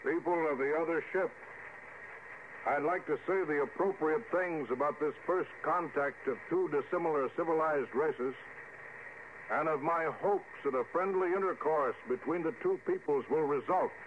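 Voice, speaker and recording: An actor playing a commanding starship captain, deliberate and stern, in 1950s radio drama style. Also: male voice, on the high side, close to an everyday voice. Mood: formal. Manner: authoritative, deliberate. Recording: phone-like vintage radio recording, faint hiss.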